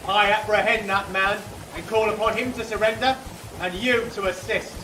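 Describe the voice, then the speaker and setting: An actor playing a stern officer of the law in a radio play, calling out formal commands in a loud, declamatory way. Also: male voice, high-pitched, steady tone, moderate pace, natural voice. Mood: commanding. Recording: distant, echoing, noisy with water ambience.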